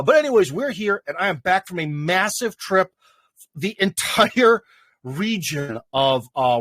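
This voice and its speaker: A man's voice: a little high, a bit bright, no room sound. A man hosting a casual live stream, rambling with many fillers and chuckles. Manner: rambling, lots of fillers and laughs.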